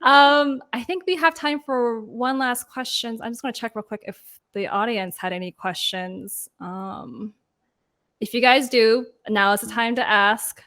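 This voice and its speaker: A woman's voice: on the high side, unhurried, fairly bright tone. A woman moderating a panel in a casual, informal and jokey way.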